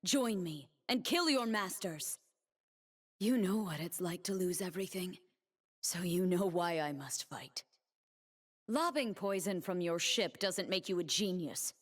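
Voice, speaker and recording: A woman's voice: fairly low pitch, expressive, measured pace. A woman playing a proud, stern young warrior who defends her homeland, with a solemn, defiant, cutting delivery for a video game. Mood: stern, scornful. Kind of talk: video game character voice lines.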